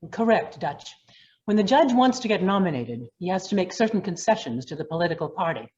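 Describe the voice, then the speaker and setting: Woman playing a blunt, animated mob lawyer. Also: fairly low pitch, brisk, muffled recording. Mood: businesslike. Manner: blunt, emphatic. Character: blunt, no-nonsense female lawyer to mobsters. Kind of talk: old time radio crime acting.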